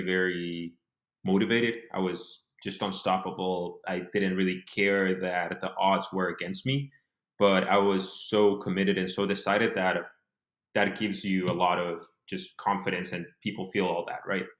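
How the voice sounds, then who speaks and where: A man's voice: deep voice, level tone, unhurried, a bit bright, roomy sound. A man in his mid-twenties from Honduras talking casually as an interview guest, telling his story reflectively in short phrases. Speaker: interview guest, business owner.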